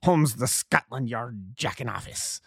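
A man's voice: medium pitch, animated, unhurried, gravelly, a bit bright, husky, dry recording, studio quality, full character voice. A man voicing a furious, menacing old doctor, a huge bully who snarls and blusters his demands in an audiobook story.

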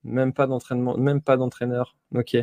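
A man's voice: mid-range voice, level tone, moderate pace, dark tone, dry recording. A man hosting a casual interview, asking questions hesitantly as he searches for his wording. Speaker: podcast host, interviewer.

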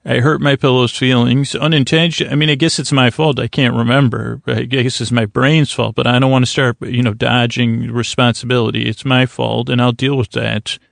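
A man with a creaky voice hosting a bedtime podcast in a rambling, halting, stuttering, self-deprecating monologue. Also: mid-range voice, flat delivery, no echo, studio quality. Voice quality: creaky, gravelly. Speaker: podcast host.

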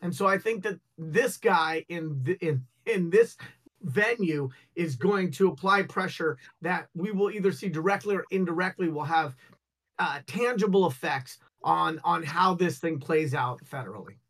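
A man's voice: on the high side, moderate pace, a bit bright. A man co-hosting a casual talk show, rambling and joking, often stumbling over his words. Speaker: co-host.

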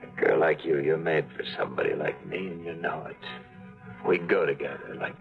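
A man's voice: a bass voice, fairly even intonation, gravelly. An actor playing a cocky, scheming adventurer who narrates his own story in a 1940s radio drama. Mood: brooding. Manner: wry, fast-talking, scheming. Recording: tinny old radio broadcast with music.

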